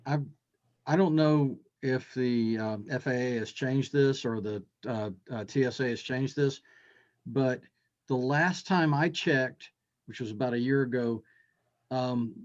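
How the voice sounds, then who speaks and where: A man's voice: mid-range voice, a little slow, darkish tone. A man offering casual advice in a hesitant way, repeating words, on an online video call. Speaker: workshop participant. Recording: slightly dull video-call audio.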